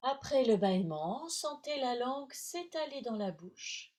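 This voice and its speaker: Lady leading yoga nidra, kinda high voice, really slow and measured, lots of pauses. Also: sing-song, a little mellow. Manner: measured, soothing, long pauses between short phrases. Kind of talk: guided relaxation instruction.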